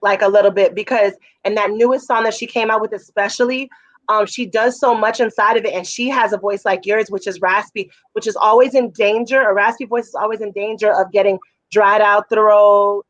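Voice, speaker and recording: A woman with a raspy voice giving casual, effusive feedback as a judge at a music showcase. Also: mid-range voice, fairly even intonation, brisk, no room sound. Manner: effusive, chatty, full of fillers.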